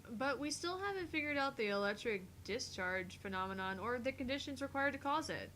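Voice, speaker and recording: A woman's voice: sits high, lively intonation, brisk, a bit bright, slight background noise. A woman playing an intelligent, slightly prickly young scientist in a visual novel, explaining things in a precise, matter-of-fact way. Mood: analytical.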